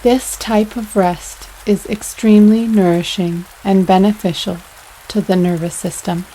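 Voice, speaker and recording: A woman leading a guided yoga nidra meditation in a soothing, unhurried way, pausing between phrases. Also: medium pitch, slight background noise, rough recording. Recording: steady rain sounds over voice. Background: rain sounds.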